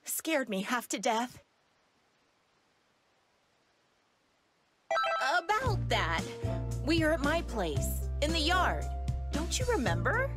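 A woman's voice: high-pitched, wildly swooping pitch, a little slow, full character voice. An actress playing an exasperated, bossy young woman in an anime video game dub, with a sassy, dramatic delivery. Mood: relieved, chiding. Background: loud music.

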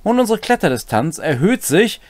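A man's voice: high-pitched, very animated, normal speed, a little mellow, dry recording. A middle-aged man casually explaining tabletop game rules, with playful, wry asides. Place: small indoor home room.

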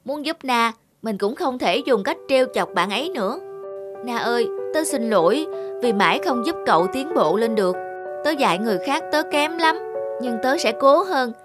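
A woman voicing an earnest schoolchild in an animated cartoon, speaking expressively. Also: fairly high pitch, normal speed, lightly acted. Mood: earnest, apologetic. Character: earnest schoolchildren, classmates who tease and make up. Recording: music bed under the voice. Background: background music.

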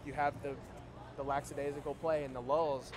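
A young man co-hosting a postgame sports recap, outdoors in a big stadium at night on a lapel mic, briskly leading the talk and asking questions. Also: on the high side, level tone. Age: young adult. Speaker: co-host and sports editor. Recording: stadium crowd noise behind.

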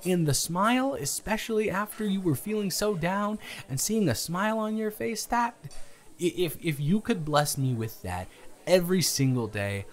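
A man acting an earnest, reassuring young suitor on a date in an improvised romantic audio roleplay, speaking haltingly with stammers. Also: sits high, animated. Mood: smitten.

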